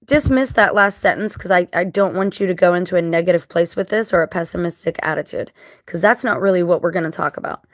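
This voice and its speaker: A woman with a scratchy, dry-mouthed voice after crying, talking casually to viewers in a halting, reflective, earnest way. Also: on the low side, no room sound. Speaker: vlogger.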